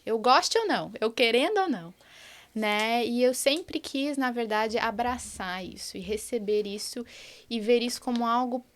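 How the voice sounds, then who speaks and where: A woman's voice: on the high side, moderate pace. A Brazilian woman in her thirties, speaking with a Brazilian Portuguese accent in an earnest, reflective way during a casual podcast chat. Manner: earnest and reflective, many tag questions. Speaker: guest, project founder.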